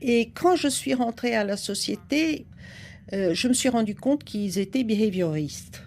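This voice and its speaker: Older woman, measured slow speech, interview guest. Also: on the high side, expressive, a little mellow. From Brazil. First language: Portuguese. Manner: thoughtful, unhurried, laughs now and then. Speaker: guest, psychoanalyst and writer. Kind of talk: relaxed interview conversation.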